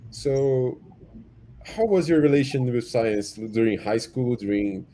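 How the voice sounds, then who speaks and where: A man hosting a casual interview, speaking haltingly and repeating words as he searches for them. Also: medium pitch, expressive, warm tone. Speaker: host. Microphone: video call. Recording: faint background noise.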